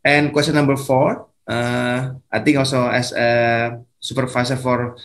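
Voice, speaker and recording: A man with an Indonesian accent, a native Indonesian speaker, giving an online academic lecture in a halting way, full of fillers and restarts. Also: medium pitch, little pitch movement, a bit bright. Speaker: guest lecturer.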